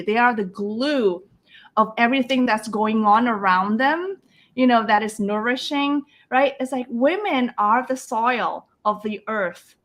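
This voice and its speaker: A woman's voice: a little high, fairly bright tone. A middle-aged woman from Indonesia, a life coach, talking reflectively in an interview with many restarts and small laughs. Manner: earnest and reflective, frequent restarts, quotes others' voices, laughs often. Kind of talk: conversational interview storytelling.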